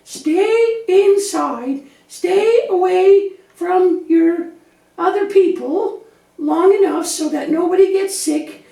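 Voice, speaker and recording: A woman's voice: very high voice, takes it slowly, creaky, dark tone, heavily acted. A woman voicing an anxious, childlike little lamb puppet in a children's church show, naive, earnest and chatty. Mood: relieved, earnest. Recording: echoey room, distant microphone.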